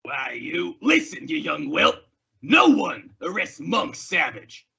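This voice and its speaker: An actor playing a menacing, mocking western outlaw bully with a raspy, harsh voice and jeering, drawling threats in an old-time radio drama. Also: male voice, fairly high pitch, big pitch swings, slow, bright, forceful tone, lo-fi sound, exaggerated voice. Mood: threatening.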